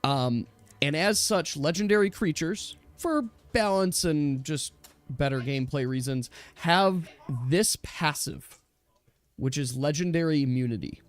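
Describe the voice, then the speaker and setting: A man running a tabletop game, explaining rules casually in a chatty, halting way. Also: fairly high pitch, lively intonation. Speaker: game master.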